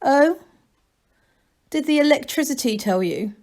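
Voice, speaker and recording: An ancient, self-satisfied gnome elder from a storybook, acted out by a woman. The delivery is slow and smooth, with a mock-kindly condescension and a raised pitch. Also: lively intonation, darkish tone. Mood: mockingly kind. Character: ancient, wrinkled gnome abbot, smooth, smug and mocking.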